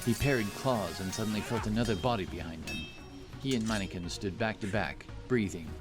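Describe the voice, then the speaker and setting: A man narrates a scripted fantasy audio drama. His voice sits fairly low and he tells the story dramatically. Heavy music runs loudly underneath. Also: a little fast. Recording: very loud music behind.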